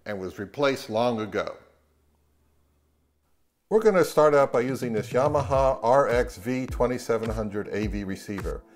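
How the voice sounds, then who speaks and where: A middle-aged man giving scripted, tongue-in-cheek instructions to viewers in a measured, deadpan way. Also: mid-range voice. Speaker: host of a hobby audio channel. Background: music.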